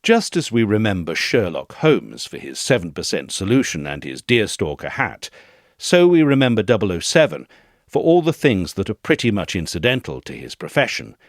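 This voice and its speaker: A man reads a scripted literary essay in a measured, articulate and expressive way. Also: lowish voice, dry recording, clean studio sound. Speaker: audio essay reader.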